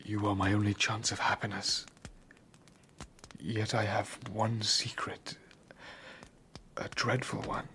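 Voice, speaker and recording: An actor playing a guilt-ridden, brooding young scientist with halting, anguished delivery in a dramatised audiobook. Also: male voice, fairly low pitch, flat delivery, pushed and bright, a little hiss or hum. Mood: weary, subdued. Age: young adult.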